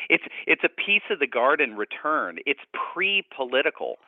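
A man's voice: fairly high pitch, sing-song, moderate pace, no room sound. Tinny phone-line voice of a male scholar. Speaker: guest scholar and commentator. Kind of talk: conversational analysis in a radio interview.

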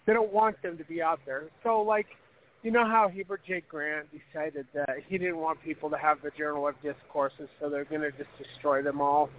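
A man calling in to a religious talk program, talking in a rambling, animated way full of "you know" and "like.". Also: a little high. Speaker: caller to a religious call-in program. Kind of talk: casual call-in conversation. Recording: phone line with faint background noise.

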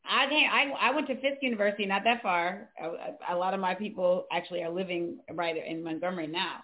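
A woman's voice: fairly low pitch, fairly quick. Casual woman co-host on a tinny line. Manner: conversational, chiming in with agreement. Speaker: co-host reading chat questions. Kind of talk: casual chat.

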